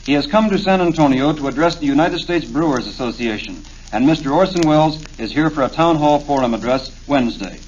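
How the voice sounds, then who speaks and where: A muffled old recording with faint noise carries a man's voice at a medium pitch. He speaks formally, as if introducing guests on the radio. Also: animated, fairly quick, few pauses, a little mellow.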